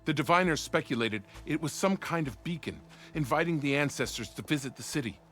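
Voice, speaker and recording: A man's voice: a little high, animated, brisk, a bit bright. An actor playing a formal, anxious male weapons officer in a video game, earnest and deferential in his manner. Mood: earnest, sorrowful. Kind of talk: video game cutscene acting. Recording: music behind the dialogue.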